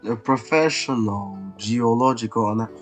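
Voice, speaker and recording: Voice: medium pitch, normal speed, faint music behind. A gamer giving casual let's play commentary, with short reactive remarks that echo the game's characters. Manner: brief reactive interjections, echoing the characters.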